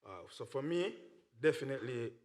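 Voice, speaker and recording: A man's voice: mid-range voice, lively intonation, moderate pace. Slangy, emphatic Jamaican man chatting. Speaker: dancehall artist being interviewed. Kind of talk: casual interview chat.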